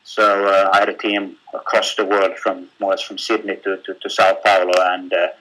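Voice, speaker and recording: A man from Finland with a Finnish accent, giving a live webinar in a halting way, with many fillers and repeated words. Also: sits low, flat delivery, bright, forceful tone, poor audio. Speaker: webinar speaker.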